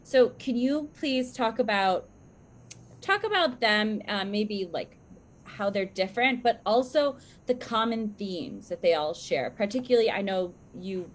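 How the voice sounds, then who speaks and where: A woman's voice: medium pitch, expressive, measured pace. A woman with a mid-range voice co-hosts an interview show on a video call. Her delivery is informal and gushing, in the style of a casual chat. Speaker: show co-host.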